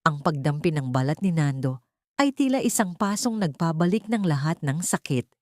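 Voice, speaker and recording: A woman's voice: deep voice, expressive, normal speed, darkish tone, dry recording, studio quality. A woman narrating a scripted romantic drama as a storyteller, in a dramatic style.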